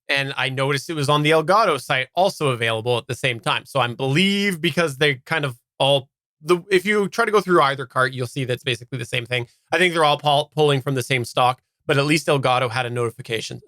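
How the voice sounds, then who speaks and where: A man's voice: medium pitch, fast talker, sharp tone, no room sound. A man co-hosting a podcast, chatting casually and relaying listener comments in a live discussion. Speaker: podcast co-host.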